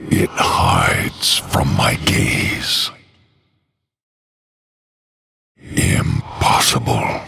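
An actor playing a cruel, imperious undead death knight king in a video game, with slow, menacing, commanding declarations in a deep gravelly rasp. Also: a bit bright, full character voice. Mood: cold, grim. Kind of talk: video game hero voice lines.

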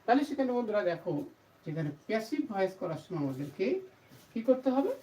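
Animated, bright-voiced woman teaching. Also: medium pitch, fast talker. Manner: asks questions and answers them herself. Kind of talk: grammar lesson to camera. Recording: distant mic, faint background noise.

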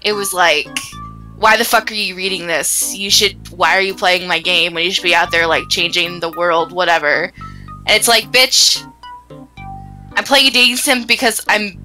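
Bright, animated woman chatting about games. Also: on the low side, moderate pace, faint music behind. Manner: animated, rambling, sweary. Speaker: gaming stream panelist. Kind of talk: casual game discussion.

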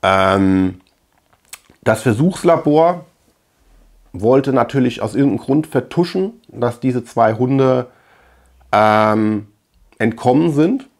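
A middle-aged man with a mid-range voice talks casually to the camera as the host of a film review. His delivery is halting, and he often searches for the right word. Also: medium pitch, expressive. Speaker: host of a film collection channel. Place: small room lined with shelves of collectibles.

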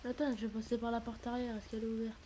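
A woman's voice: a little high, level tone, rapid-fire, crowd noise. A woman talking casually as she plays an online roleplay game.

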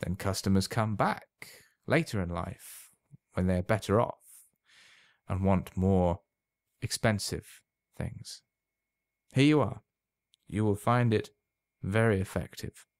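A man voicing a sly, knowing old potion seller in a storybook reading, unhurried, insinuating and emphatic. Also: low-pitched, gravelly, no echo, studio quality, exaggerated voice. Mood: sly, businesslike.

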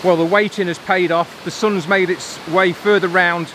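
A man's voice: sits high, steady tone. A man, chatting casually to camera as a photography vlogger outdoors. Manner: chatty, upbeat, colloquial. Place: outdoors by a waterfall in a valley. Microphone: on-camera mic, handheld selfie framing. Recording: rushing water noise behind. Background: waterfall and stream.

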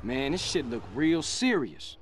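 A doubtful young gangster from a video game. His fairly high voice swings about as he questions things in slang, with loud vehicle noise behind. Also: very animated, unhurried. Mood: worried, doubting. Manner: questioning, hesitant street slang. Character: uneasy, doubting young male gang member. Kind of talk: video game cutscene acting.

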